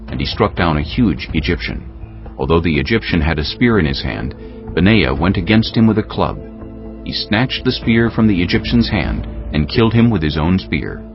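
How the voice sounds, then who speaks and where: A man narrating dramatized scripture as a solemn, measured storyteller. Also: low voice, lo-fi sound.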